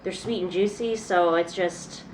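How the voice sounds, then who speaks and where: A woman's voice: on the low side, measured pace, darkish tone, slight background noise, poor audio. A young woman with a congested, stuffy voice, chatting casually and upbeat while filming a cooking vlog in a small apartment. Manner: chatty, upbeat, laughs often. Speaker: vlogger. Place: small apartment room and kitchen, indoors.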